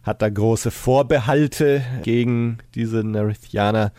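A man's voice: mid-range voice, steady tone, takes it slowly, dark tone, no echo, clean studio sound. A man on a podcast retelling a story in an informal, explanatory way. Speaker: podcast co-presenter.

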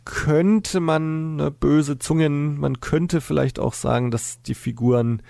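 Male podcast guest, soft mellow low tone, close dry sound, conversational and thinking aloud. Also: steady tone, takes it slowly, gravelly, no room sound. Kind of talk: casual podcast discussion.

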